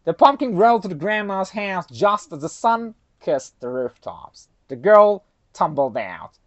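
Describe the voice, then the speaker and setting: A woman's voice: on the low side, very animated, a little slow, muffled recording. A woman storyteller narrating a children's folktale expressively.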